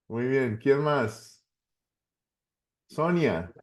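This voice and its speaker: Colombian guy, pastor type, casually running an online class, mid-range voice, encouraging. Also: mid-range voice. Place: online video call.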